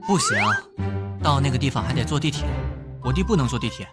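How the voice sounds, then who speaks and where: A man acts out a caring young detective in an animated drama. His mid-pitched delivery is earnest and very lively. He sounds like a protective big brother. Music plays clearly behind him. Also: fast talker, close to an everyday voice. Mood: brusque, firm. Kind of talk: Mandarin animation dub acting with inner monologue. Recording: background music under the voice.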